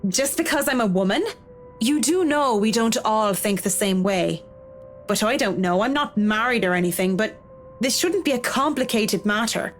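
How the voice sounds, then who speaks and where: Frank young professional, higher voice. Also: female voice, fairly bright tone. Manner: dry, blunt, informal. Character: frank, practical young single professional woman, sardonic. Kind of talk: visual novel game voice acting. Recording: music behind the voice. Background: music.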